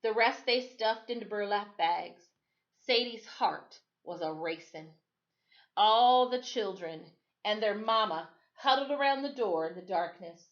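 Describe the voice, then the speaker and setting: A woman reading a children's story aloud as expressive storytime narration. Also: mid-range voice, measured pace, dull audio. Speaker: storytime reader.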